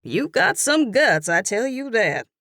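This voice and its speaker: A woman narrator takes on a gossipy Southern cashier in her middle years, loud and teasing, with a thick Southern US accent. She sits well above the narrator's own fairly low voice. The read is dry and close, with no room around it. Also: very animated, unhurried, no room sound, heavily acted.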